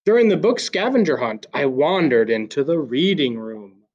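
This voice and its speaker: A man reading a children's story aloud like a teacher, with expressive, deliberate emphasis. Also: on the high side. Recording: slightly dull, lo-fi sound.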